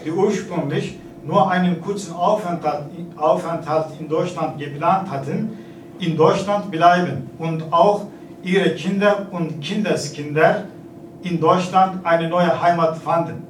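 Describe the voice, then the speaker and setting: A man in his forties from Turkey with a Turkish accent, giving a formal speech with halting hesitations and fillers. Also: a little high. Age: 40s. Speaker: consulate representative giving a speech. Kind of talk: formal speech at an exhibition opening. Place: event hall. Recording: echoey hall, distant, noisy.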